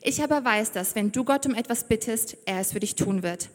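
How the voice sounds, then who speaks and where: A woman's voice: a little high, a little fast, close to an everyday voice. A woman voicing Martha, a grieving woman who holds to her faith, in a read-aloud Bible story. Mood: earnest. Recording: reverberant church hall.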